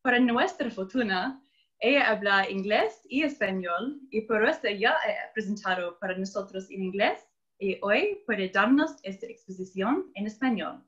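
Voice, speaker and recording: A woman's voice: mid-range voice, lively intonation, measured pace, fairly bright tone. Australian woman host on dull call audio. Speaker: host, institute director. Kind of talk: scripted introduction.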